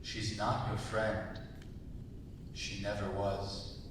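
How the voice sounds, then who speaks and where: Menacing sea god in a cavernous hall, man acting. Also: level tone. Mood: cold menace. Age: young adult. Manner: formal, threatening, measured. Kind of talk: staged dramatic script reading. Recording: very echoey hall, distant mic, hiss and rumble.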